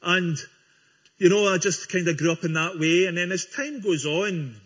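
A man's voice: sits high, moderate pace, fairly bright tone, husky. A Scottish man from Scotland giving his church testimony to a congregation, earnest and friendly, with small restarts. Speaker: guest speaker giving testimony. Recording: dull lo-fi recording.

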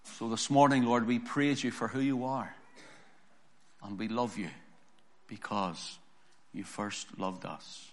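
A hoarse man preaching a sermon, reading scripture slowly and building to emphatic preaching. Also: mid-range voice, fairly even intonation. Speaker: pastor.

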